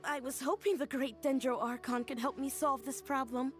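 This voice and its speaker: A woman's voice: high-pitched, fairly even intonation, normal speed, close to an everyday voice. An actress voicing an earnest, wistful woman in a story-driven video game. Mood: hopeful. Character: earnest, wistful adult woman recalling her childhood tutor. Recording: music behind the voice.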